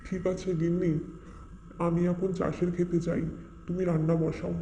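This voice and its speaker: Cartoon poor husband played by a man: plaintive, homely, high-pitched, in a roomy echo. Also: level tone, moderate pace, vocal fry. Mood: resigned. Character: humble, worried village husband, poor farmhand out of work. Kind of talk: Bengali cartoon fairy-tale acting. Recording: roomy echo, faint ambient noise.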